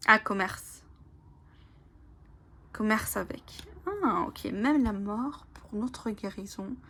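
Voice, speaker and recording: A woman whose first language is Italian, casually presenting oracle cards, reading their lines aloud slowly and whispering in awe, with many fillers. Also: mid-range voice. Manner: soft, often whispering in awe, hesitant with fillers. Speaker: oracle card channel host.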